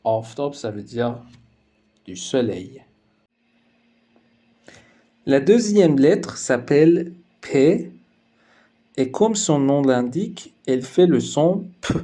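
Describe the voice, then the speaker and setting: Slow, mellow-voiced male teacher. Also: medium pitch, sing-song. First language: Persian. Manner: slow, careful, explanatory delivery with hesitation fillers. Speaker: language teacher. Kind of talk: language lesson narration.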